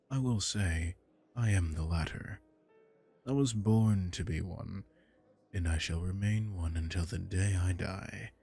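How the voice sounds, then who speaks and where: Man voicing a deep, suave vampire lord. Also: darkish tone, exaggerated voice. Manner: smooth, teasing, unhurried. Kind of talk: ASMR audio roleplay.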